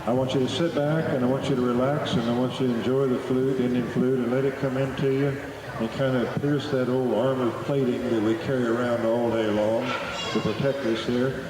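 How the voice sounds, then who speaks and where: A man's voice: mid-range voice, steady tone, a little fast, noisy background. A middle-aged man, talking to a live audience between flute pieces in a folksy, joking storyteller's manner. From Oklahoma. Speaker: flute performer addressing a festival audience. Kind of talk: live stage talk with folksy humor. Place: outdoor festival stage. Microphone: stage PA microphone. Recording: echoey live recording from far off. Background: festival crowd.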